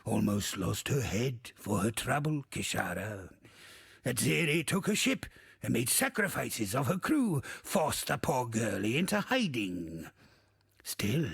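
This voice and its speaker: An actor playing a bawdy, wistful old ghost in a video game, theatrical and drawling, with a gravelly, rasping voice. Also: male voice, on the low side, fairly bright tone, no echo, clean studio sound, exaggerated voice. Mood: yarn-spinning. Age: older. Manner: theatrical, salty archaic pirate talk, swooping and drawling. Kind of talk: video game character monologue.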